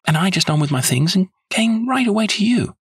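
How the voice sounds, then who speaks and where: A man's voice: sits high, expressive, brisk, slightly rough, no room sound, clean studio sound, pitched above the performer's own voice, exaggerated voice. A man voicing a nervous, chatty, good-humoured young woman in an audiobook story. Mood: indignant. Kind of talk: audiobook character voice.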